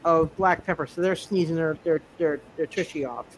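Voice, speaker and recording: A man narrating a tabletop roleplaying game as game master, speaking haltingly and often repeating his words. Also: medium pitch, slight background noise, poor audio.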